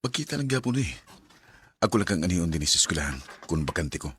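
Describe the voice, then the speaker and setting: A man playing a poor young student and soft-spoken suitor in a sentimental radio drama, with a Filipino Bisaya accent. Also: low voice, big pitch swings, fast, husky, exaggerated voice. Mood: downcast, reassuring.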